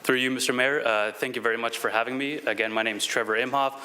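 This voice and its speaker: Bright-voiced man giving a formal presentation. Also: mid-range voice, steady tone, fast talker. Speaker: city climate change coordinator presenting.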